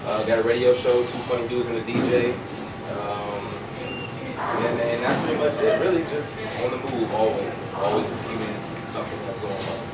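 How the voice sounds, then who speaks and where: A man's voice: medium pitch, normal speed. A 22-year-old American man from Chicago, a stand-up comedian chatting casually and jokingly in slangy language as an interview guest. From Chicago, United States. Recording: echoey, distant, very noisy public space.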